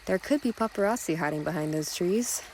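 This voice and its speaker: A woman's voice: on the low side, lively intonation, fairly quick, darkish tone, natural voice, rough recording. A woman playing a wry, guarded young cyborg in love, teasing and slightly awkward, in a romantic audio drama. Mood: playful, flustered. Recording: clear noise with trickling water behind. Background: running creek water.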